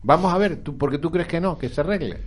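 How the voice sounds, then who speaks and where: A man's voice: a little high, fast talker, husky. A male radio host, interviewing a guest bluntly and pushing back on his points. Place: radio studio.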